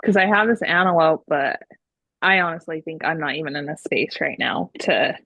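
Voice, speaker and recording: A woman's voice: sits low, normal speed, pushed and bright, husky, no echo. A woman taking part in a group call, talking casually, on slightly dull lo-fi call audio. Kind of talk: casual conversational question and reply.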